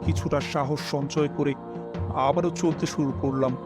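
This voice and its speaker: A man's voice: a little high, fairly even intonation, moderate pace. A man reading a scripted first-person horror story as its narrator. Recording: music behind the voice.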